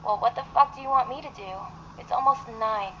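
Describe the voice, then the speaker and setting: A woman's voice: sits high, fairly quick, close to an everyday voice. A woman acting in an audio roleplay as a blunt, exasperated office assistant to a demanding boss. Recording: dull muffled sound, faint noise.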